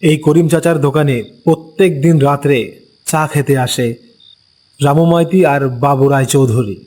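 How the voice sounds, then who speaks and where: A man narrating an animated ghost story as a storyteller, unhurried and explanatory. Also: fairly high pitch.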